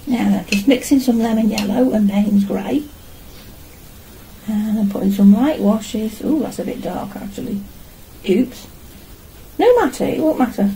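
Middle-aged woman, casual hands-on art vlogger, mellow delivery with plenty of creak, noisy home recording. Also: on the high side, unhurried. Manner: chatty, talking to herself as she works. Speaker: artist and vlogger. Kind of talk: casual narration while painting. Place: small home art studio, indoors.

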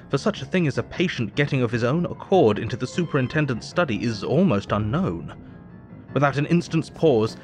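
A man acting a weary Victorian doctor narrating his diary in a measured, formal way for an audio drama. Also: fairly low pitch, close to an everyday voice. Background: music.

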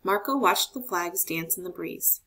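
A woman narrating a children's picture book aloud in an expressive storytelling style. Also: lowish voice, unhurried. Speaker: storybook reader.

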